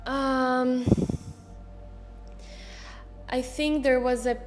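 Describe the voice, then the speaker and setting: A woman's voice: high-pitched, flat delivery, drawn-out words, over music. A woman in her twenties from Israel, speaking English as an as an interview guest on a handheld mic, hesitant, with many fillers and restarts. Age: 20s. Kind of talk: casual interview.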